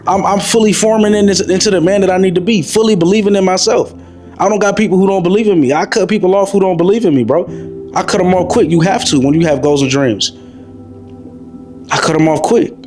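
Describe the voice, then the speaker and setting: A young man in his twenties delivers a motivational talk in a slightly high voice. He preaches and repeats himself, calling the listener bro. Faint music plays behind him. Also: fairly quick, a little mellow. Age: 20s. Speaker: motivational vlogger. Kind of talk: motivational monologue to camera.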